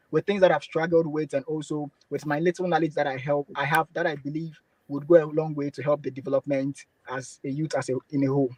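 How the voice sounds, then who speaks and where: A young man introduces himself as a guest on a radio talk show. He speaks earnestly, with a few fillers. His voice sits fairly high for a man, and the sound is dry and close. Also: no room sound, poor audio. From Ghana.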